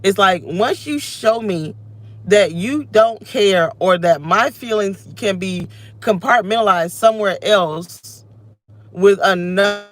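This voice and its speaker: A woman in her thirties, with a scratchy voice, venting and restlessly interrupting herself into a phone camera while she sits in her car. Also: medium pitch. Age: 30s. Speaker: vlogger. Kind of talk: unscripted personal vlog rant. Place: inside her parked car. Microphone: phone camera held close.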